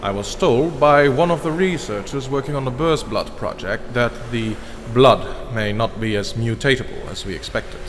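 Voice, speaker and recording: A man's voice: medium pitch, normal speed, hardly any pauses, natural voice. A man voicing a cold, scheming vampire researcher who explains things formally and clinically in a scripted fantasy drama. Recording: long reverb, clearly noisy background.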